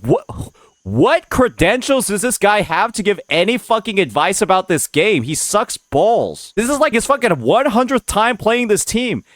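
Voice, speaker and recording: Mocking, profane man, lively pitch. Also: moderate pace, no echo, studio quality. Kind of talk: reacting to gameplay footage.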